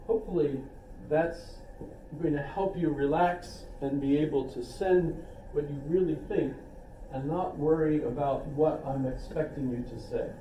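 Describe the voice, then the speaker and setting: A man's voice: a little high, dull audio, a little hiss or hum. An older man teaching a philosophy class in a casual, rambling way, with asides and self-deprecating jokes. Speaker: philosophy instructor. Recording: echoey classroom recorded from a distance.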